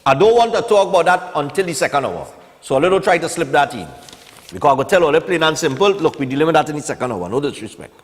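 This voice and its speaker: A Trinidadian man in his forties with a slightly high-set voice talks like a morning TV host, casual and opinionated, tagging his points with small checks to the listener. His voice rings with long reverb, as if in a hall. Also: animated, quick pace. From Trinidad and Tobago. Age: 40s. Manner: conversational, opinionated, peppered with "eh" and "you know". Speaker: morning television show host. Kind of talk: casual live talk and newspaper review.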